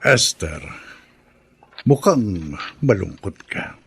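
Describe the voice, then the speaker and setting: A man acting a persistent, coaxing middle-aged suitor in a Filipino radio drama, with a husky, gravelly voice. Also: sits low, big pitch swings, very slow, fairly bright tone, soft background music, heavily acted. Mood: soft concern. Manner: insistent, coaxing. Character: persistent, pushy middle-aged suitor courting a struggling mother.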